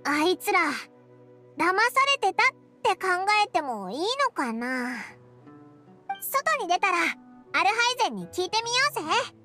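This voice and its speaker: A woman voicing a small childlike fairy companion in an anime game, squeaky and brash with boyish, blunt phrasing. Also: big pitch swings, measured pace, sharp tone, full character voice. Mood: curious, candid. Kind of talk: anime video game voice acting. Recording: music behind the voice.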